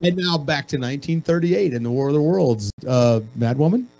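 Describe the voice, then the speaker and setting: A man's voice: fairly high pitch, lively intonation, brisk, creaky, a little mellow, low-quality recording. A man hosting an online show with casual, joking, rambling, self-deprecating chat. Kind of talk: casual chat between broadcast segments.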